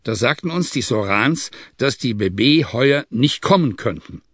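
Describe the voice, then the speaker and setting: A man's voice: medium pitch, animated, measured pace, a bit bright, husky, dry recording. A man voicing a Bedouin tribesman giving a matter-of-fact report in an audiobook reading.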